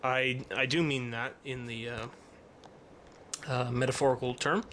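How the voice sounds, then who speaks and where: Guy doing a casual build how-to, kinda stop and start, bright voice, bit of noise behind him. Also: mid-range voice, fairly even intonation, unhurried. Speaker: hobbyist presenter of a toy-building how-to. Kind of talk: casual tutorial narration. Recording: noticeable background noise.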